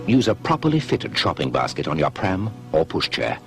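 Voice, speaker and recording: A man's voice: sits low, huge pitch swings, normal speed, over music. A man narrating a scripted public information film with a grave, cautionary delivery. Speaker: voice-over narrator.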